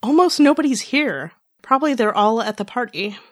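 A woman voicing a deadpan female fantasy drinking companion, speaking deliberately in an amateur fan-fiction read-aloud. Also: on the high side, dry recording, clean studio sound. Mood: flat, resolute.

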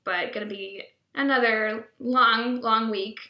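A woman's voice: on the high side, fairly even intonation, slow pace, sharp tone. A young woman from the Boston area, a veterinary student, chatting casually and easily on a podcast. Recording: roomy echo.

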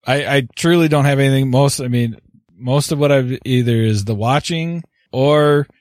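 A man's voice: mid-range voice, normal speed, a little mellow, dry recording, studio quality. A man hosting a podcast, introducing segments and thanking sponsors in a laid-back, offhand way. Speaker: podcast host.

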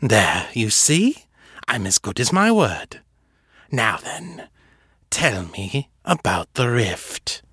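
A man's voice: lowish voice, big pitch swings, slow pace, bright, forceful tone, slightly rough, no echo, studio quality, heavily acted. A man voicing a gleeful, sadistic male vampire who taunts in a sing-song, cooing way. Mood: coaxing.

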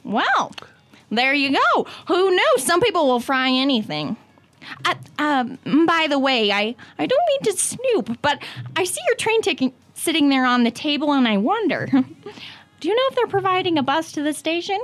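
A woman's voice: high-pitched, very animated, normal speed, a bit bright. A young woman playing a nosy, chatty female American tourist who gushes and rambles. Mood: nosy, gushing.

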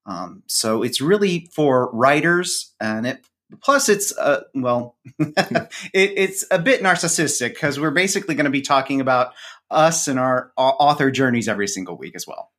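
Mid-pitched man, casual and self-deprecating. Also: animated, moderate pace, fairly bright tone. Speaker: podcast guest and co-host. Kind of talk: casual interview chat.

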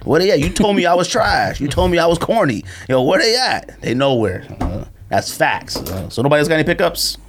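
A man with a slightly high voice hosts a casual podcast. His pitch swings widely as he talks, and he comes across as animated and opinionated, using plenty of slang. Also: fairly quick, few pauses, slight background noise. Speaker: podcast host. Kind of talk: casual sneaker podcast chat.

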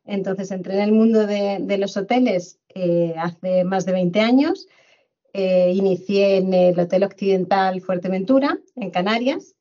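A woman's voice: medium pitch, dark tone, highs cut off. Middle-aged woman from Madrid. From Spain, Madrid. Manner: measured, with frequent fillers and repetitions. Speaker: guest, HR director. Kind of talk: conversational interview.

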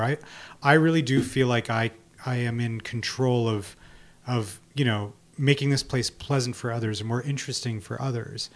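Casual man hosting a podcast. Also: medium pitch. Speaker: podcast co-host. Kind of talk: casual podcast interview chat.

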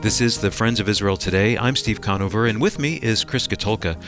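Polished radio host with a low voice. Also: male voice, fairly quick, loud music behind the voice. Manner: smooth, polished read. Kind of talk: scripted radio hosting and news reading.

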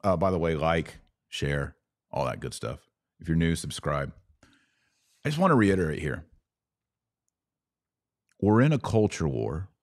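A low-pitched American man speaks in a dry, close studio sound. He hosts an opinion podcast, pressing his points emphatically and preachily, often through rhetorical questions. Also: very animated, unhurried, pauses often, no echo. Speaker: podcast host. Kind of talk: opinion commentary monologue.

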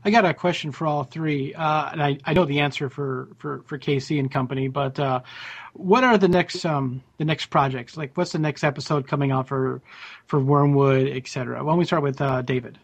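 A man with a husky voice casually putting questions to a panel. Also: on the high side, steady tone, brisk.

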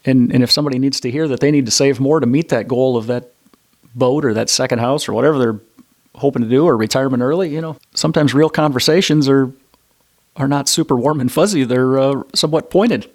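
A man in a mid-range voice speaking as a financial advisor guest on a podcast. He is blunt and plain-spoken, often restarting his phrases, and slows down to drive important points home. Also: mid-range voice, darkish tone. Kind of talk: conversational interview answers.

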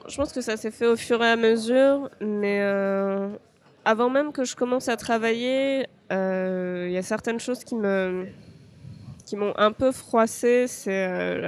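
A young woman from France, a podcast guest speaking hesitantly, with frequent fillers and restarts. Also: medium pitch. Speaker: interview guest. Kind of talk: podcast interview.

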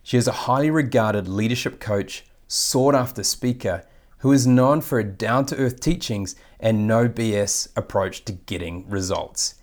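A man's voice: lowish voice, unhurried. Man hosting, formal effusive intro. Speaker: podcast host. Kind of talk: scripted guest introduction and interview.

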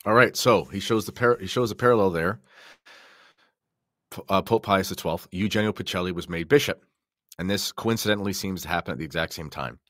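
Low-voiced man explaining on a podcast. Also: fairly quick, a bit bright, no echo, studio quality. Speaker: podcast guest or co-host commentator. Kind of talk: conversational podcast commentary.

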